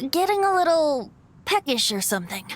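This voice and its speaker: Woman as a light, teasing young male bard for a game: a little high, airy, and a natural storyteller. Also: very noisy, exaggerated voice. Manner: lighthearted and teasing, shifting into a rhyming storyteller's recital. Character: young male wind spirit bard, playful and wistful. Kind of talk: video game cutscene voice acting. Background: game soundtrack music.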